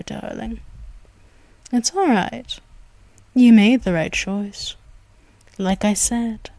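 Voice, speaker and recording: A commanding female vampire, as a woman plays her for an ASMR roleplay, drawing out every word at a very slow, deliberate pace. Her voice stays soft and hushed, slipping into whispers. The recording is dry and intimate, right up against the microphone. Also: mid-range voice, dry recording. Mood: soothing. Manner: commanding and purring. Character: dominant predatory female vampire, commanding and possessive. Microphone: close mic, intimate.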